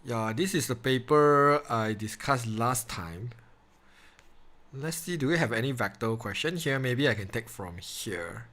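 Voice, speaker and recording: A man's voice: mid-range voice, expressive, measured pace, a bit bright. Chatty Malaysian man tutoring on a live stream. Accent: Malaysian English. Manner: chatty, laughs often, loose grammar. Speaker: online maths tutor. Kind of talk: casual live-stream chat with students.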